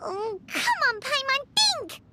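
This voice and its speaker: A woman voicing a tiny, excitable childlike fairy companion in an anime video game, earnest, anxious and emphatic. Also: very high-pitched, slow, full character voice. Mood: worried. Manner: emphatic, exclamatory, refers to self in third person. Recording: loud music under the voice.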